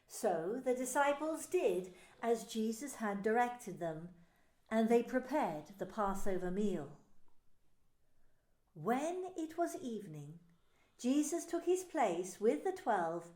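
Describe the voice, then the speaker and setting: A woman narrating a dramatised Bible story in scripted, expressive storytelling. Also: a little high, slow. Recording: echoey room with faint music.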